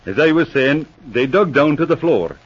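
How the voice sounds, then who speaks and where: An actor playing a jolly, talkative Irish Mountie with a folksy, chuckling manner, in 1940s radio acting style. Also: male voice, on the high side, lively intonation, moderate pace, breathy edge. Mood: amused. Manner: chuckling, folksy, rambling storyteller. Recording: muffled old 1940s radio recording.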